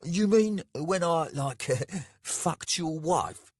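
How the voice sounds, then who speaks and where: A man voicing a big, blunt, gruff male pit fighter in a story, provocative and mumbling. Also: fairly high pitch, expressive, extremely slow, no echo, pitched above the performer's own voice, heavily acted. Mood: defiant, taunting.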